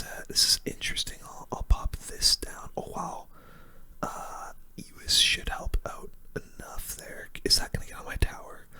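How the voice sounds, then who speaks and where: A video game streamer giving casual, slangy gameplay commentary in a soft, unvoiced ASMR whisper.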